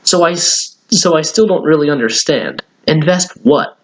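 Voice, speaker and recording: A man's voice: on the high side, lively intonation, moderate pace, lightly acted. A man voicing a kindly, puzzled old grandfather in a children's storybook.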